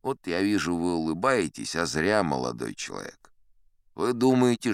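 A man's voice: low-pitched, fairly bright tone, smooth, no room sound, studio quality. A man playing an old sea captain who tells tall tales in a sly, folksy, conversational way, as in a dramatized audiobook. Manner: conversational, sly, leisurely, addressing a young listener.

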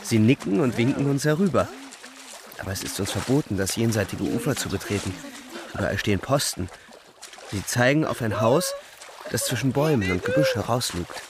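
Man acting a gravelly, world-weary young soldier narrator. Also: mid-range voice, lively intonation, brisk, background noise, natural voice. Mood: wistful, restrained excitement. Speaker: first-person narrator. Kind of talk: literary radio drama narration.

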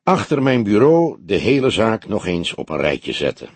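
Man reading as wry old detective, husky voice. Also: fairly low pitch, big pitch swings, no room sound, heavily acted. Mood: shrewd, measured. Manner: mocking, probing, deliberate. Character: old grizzled police detective, teasing, wry, shrewd.

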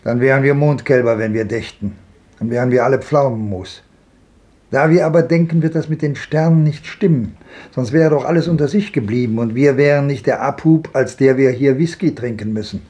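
An actor playing an old gentleman who philosophizes ironically and declaims, in 1950s radio drama style. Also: mid-range voice, brisk, natural voice. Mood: ironic.

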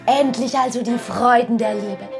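A woman's voice: on the high side, moderate pace, music in the background, close to an everyday voice. An actress playing a passionate, dreamy young married woman caught up in an adulterous love, in a literary radio play. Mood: secretly rapturous.

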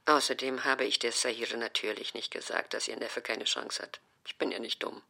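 A woman's voice: a bass voice, fairly even intonation, normal speed, gravelly, sharp tone, exaggerated voice. An actress playing a stubborn, easily offended older mother who complains dramatically in an audio drama. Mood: placating. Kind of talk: acted German audio drama dialogue.

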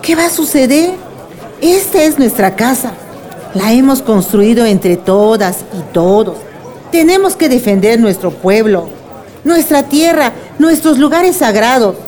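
A woman's voice: a little high, lively intonation, unhurried, darkish tone, some chatter behind. A woman playing a dignified, passionate villager in a radio drama, pleading in rhetorical appeals. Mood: impassioned.

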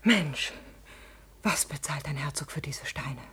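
A woman's voice: low voice, expressive, a little slow, fairly bright tone, heavily acted. An actress playing a passionate, proud and agitated noblewoman in a classical German radio drama, with theatrical, intense delivery. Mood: shaken. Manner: theatrical, intense, breathy outbursts.

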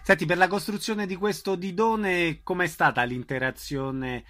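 A man's voice: high voice, fairly even intonation, measured pace, pushed and bright. A man conducting a conversational radio interview as the interviewer.

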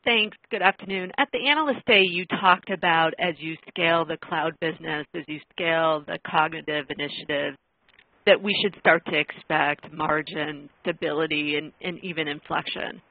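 A woman analyst puts a measured, carefully worded question over a tinny phone line. Her voice has a gravelly fry to it, and the line sounds dry and close. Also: sits low, no echo. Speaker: financial analyst. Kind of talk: asking a question on an earnings call.

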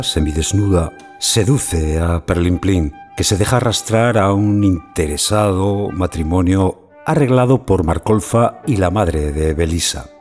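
A man's voice: low voice, a little mellow. A man narrating a scripted literary introduction as a commentator. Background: music.

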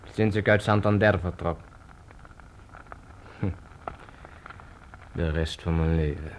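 An actor playing a guarded, middle-aged or older Spanish innkeeper in a radio drama, terse and evasive. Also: male voice, low voice, takes it slowly, a little hiss or hum, rough recording. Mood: brusque, brooding.

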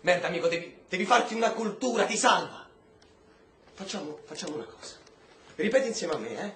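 An actor playing a brash, friendly streetwise prisoner in a radio drama, with slangy, coaxing talk. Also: male voice, high voice, expressive, fairly quick, fairly bright tone, a little hiss or hum. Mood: teasing. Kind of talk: stage-style radio drama acting. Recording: roomy echo, faint music behind.

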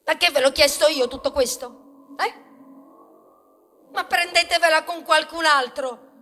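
A woman playing a humble, dreamy grain of wheat in a theatrical ballad, declaiming earnestly and wistfully with dramatic pauses. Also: high-pitched, bright, forceful tone, soft background music. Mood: indignant, exasperated. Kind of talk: theatrical monologue in a radio stage ballad.

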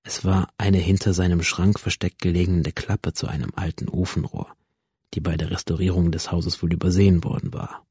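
Bitter young man narrating his past in a radio play, dark soft-edged tone, flat matter-of-fact, very dry studio sound. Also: sits low, moderate pace, slightly rough, no room sound, heavily acted. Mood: brooding, detached. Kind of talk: radio drama, introspective first-person narration.

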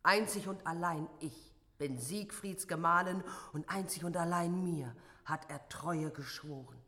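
A woman's voice: on the low side, measured pace, a bit bright, no echo. A woman playing a commanding, vengeful and grieving warrior wife in a fantasy radio drama, stern and declamatory.

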